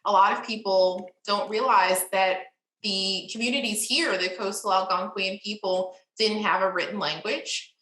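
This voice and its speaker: A woman panelist speaking in a measured, informative way on a video call. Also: medium pitch, fairly even intonation, pushed and bright. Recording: echoey room.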